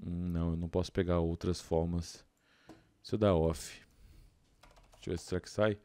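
A young Brazilian man hosts a gaming video in a low, mellow voice. He talks informally and with plenty of slang, thinking aloud as he plays. The sound is dry, with no room around it. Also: fairly even intonation, normal speed, dry recording. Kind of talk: casual gameplay commentary.

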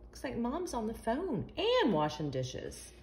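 Slow, animated woman reading to children. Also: fairly high pitch, very noisy. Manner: sing-song, asks the young listeners questions. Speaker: children's storytime host. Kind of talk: expressive read-aloud of a picture book for young children.

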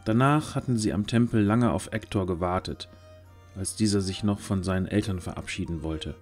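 A man's voice: deep voice, normal speed. A man narrating a scripted fantasy audio drama as its storyteller. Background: music.

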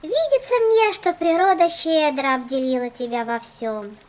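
Woman voicing a very high-pitched haughty doll girl. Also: normal speed, natural voice. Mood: smug teasing. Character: dramatic, haughty little doll girl, fussy and boastful. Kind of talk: children's cartoon dialogue.

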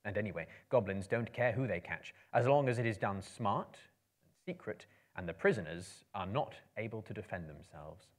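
A man in his thirties giving a dramatic book reading, with theatrical, measured, emphatic phrasing. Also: lowish voice, big pitch swings. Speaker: storyteller. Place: room lined with books, indoors.

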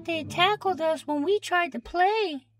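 A man voicing a timid deer in a storybook, complaining in a very high voice. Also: a little slow, a bit bright, soft background music.

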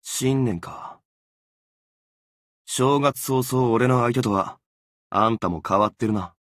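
A man voicing a gloomy, reserved young swordsman in a Japanese game, quiet and self-deprecating. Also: mid-range voice, slow pace, no echo, studio quality, close to an everyday voice. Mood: subdued. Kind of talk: Japanese game character voice lines.

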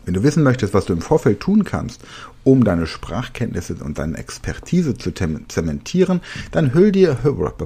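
A man's voice: mid-range voice, very animated, normal speed, dark tone, slightly rough. A man in his forties hosting a solo podcast, coaching listeners directly in a conversational way with casual asides. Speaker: podcast host and language trainer.

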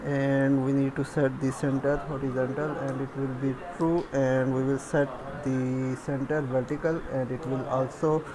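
Male tutorial instructor, halting and monotone, working through steps aloud on a noisy home-made recording. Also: medium pitch. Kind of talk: step-by-step screen tutorial, thinking aloud.

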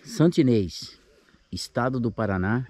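Man in his sixties, Brazilian accent, husky and mellow, casual outdoor camping narration. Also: mid-range voice, animated, unhurried. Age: 60s. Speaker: camping vlogger. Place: outdoors on a grassy lakeside campsite.

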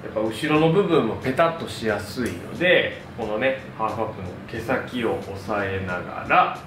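Animated man in a roomy, distant recording. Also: on the low side, normal speed. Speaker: hairdresser presenting a tutorial. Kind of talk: instructional hair tutorial to camera. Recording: roomy echo, distant mic, background music. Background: music.

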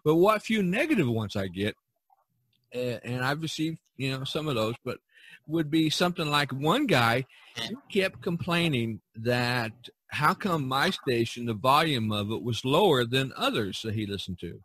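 A man hosting a casual chat, telling anecdotes in a rambling, halting way. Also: mid-range voice, expressive, fairly bright tone, breathy edge, no room sound. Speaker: podcast host.

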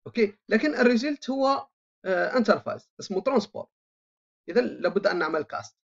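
A programming instructor, explaining code while typing, thinking aloud with frequent drawn-out filler sounds. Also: medium pitch, lively intonation, vocal fry. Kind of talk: screen-recorded coding tutorial. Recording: dull lo-fi voice-over mic.

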